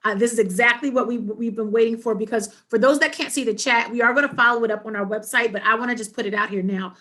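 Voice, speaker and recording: Female panel moderator, middle-aged, slightly high voice, speaking on a video call from home. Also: steady tone, fast, a bit bright. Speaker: panel host. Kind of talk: moderating an online discussion. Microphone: computer mic on a video call.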